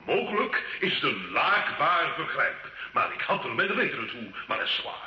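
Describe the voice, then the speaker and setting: A man's voice: fairly high pitch, very animated, normal speed. An actor playing a proud, defiant medieval nobleman in a radio play, grandiloquent and mocking. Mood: defiant, indignant. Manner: grandiloquent, sarcastic, theatrical. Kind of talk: old Dutch-language radio play acting. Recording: dull, echoey old radio recording.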